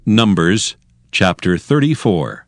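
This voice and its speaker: A man narrating a dramatized scripture reading in a formal, deliberate, stately manner. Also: deep voice, very animated, no room sound, studio quality, faint music behind, exaggerated voice. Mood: solemn. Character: solemn male Bible narrator.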